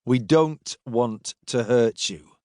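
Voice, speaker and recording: Guy doing calm weary roman agent, audiobook, dry and firm, no room echo. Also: mid-range voice, slow, close to an everyday voice. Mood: firm, reasoning.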